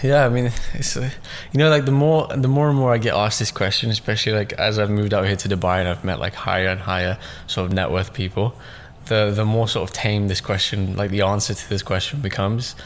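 Rambling young man, slightly low voice. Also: steady tone, fairly quick, hardly any pauses, a little hiss or hum. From born in Russia, raised in southeast London. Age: 20s. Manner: loose and rambling, many fillers and "like"s. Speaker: podcast guest, business owner. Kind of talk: casual interview chat.

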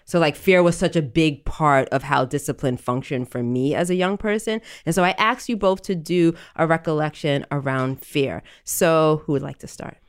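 A woman's voice: deep voice, normal speed, few pauses. A woman hosting a podcast, chatting casually and leaning on "like" as she talks. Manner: chatty, fills speech with "like". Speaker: podcast host.

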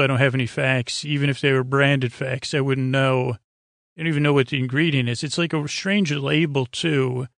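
An American man hosting a sleep podcast, rambling in a low-key, halting way and trailing off mid-thought. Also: creaky, fairly bright tone, no room sound, clean studio sound. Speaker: podcast host.

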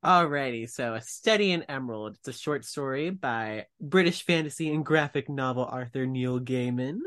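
A man's voice: mid-range voice, normal speed, fairly bright tone. A man hosting a podcast, reading out background facts in an explanatory style. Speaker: podcast host.

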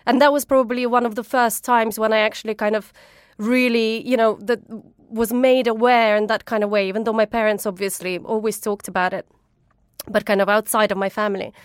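A woman's voice: on the high side, fairly even intonation, fairly quick, clear, resonant tone, no echo, studio quality. A woman from Ukraine whose first language is Russian, a chef and food writer answering interview questions earnestly and with feeling, often restarting her sentences.

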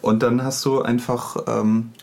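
A man's voice: medium pitch, little pitch movement, unhurried, gravelly, a little mellow. A man hosting a conversational interview, feeling his way through his phrasing with hesitations. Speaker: podcast interviewer, host.

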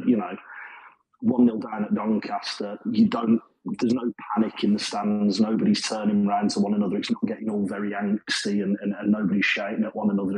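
A man's voice: lowish voice, darkish tone, slightly rough, no room sound, poor audio. A man with a Birmingham accent, calling in to chat about a football match. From Birmingham, England. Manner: chatty, rambling football fan. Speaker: caller. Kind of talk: casual chat.

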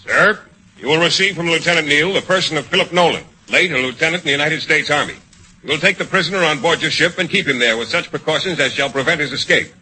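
An actor playing a stern military official who reads formal orders in a clipped old-time radio style. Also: male voice, on the high side, fast talker, bright, forceful tone, slightly rough, exaggerated voice, rough recording.

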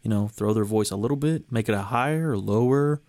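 A man's voice: fairly low pitch, fairly quick, a little mellow. A man hosting a casual podcast chat, easygoing and talkative. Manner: chatty, easygoing, laughs often. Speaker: podcast host.

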